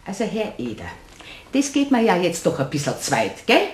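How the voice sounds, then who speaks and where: An actress playing a skeptical, sharp-tongued middle-aged wife in a radio play, scolding brusquely with a Bavarian accent. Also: female voice, lowish voice, wildly swooping pitch, brisk, roomy sound. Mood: indignant. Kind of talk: German radio play acting.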